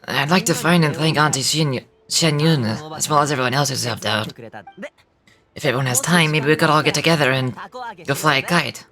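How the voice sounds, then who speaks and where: A woman voicing a bashful, friendly young game character in a hesitant, gentle manner. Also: low voice, brisk, over music, pitched below the performer's own voice. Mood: shy, grateful. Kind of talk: game dialogue acting.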